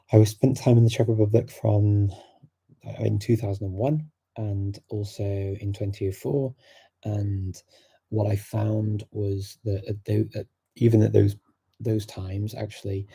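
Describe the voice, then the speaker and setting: A middle-aged man hosting a live-stream Q&A, answering viewer questions in a thoughtful, unhurried way full of fillers and restarts. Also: lowish voice, dark tone, husky. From England.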